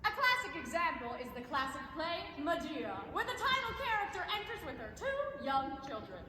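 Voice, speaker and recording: A woman's voice: high voice, big pitch swings, moderate pace, crowd noise. A woman acting as a gushing, patriotic infomercial saleswoman in a stage comedy, over-enthusiastic and emphatic. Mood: salesy, confiding. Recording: echoey hall, faint background noise.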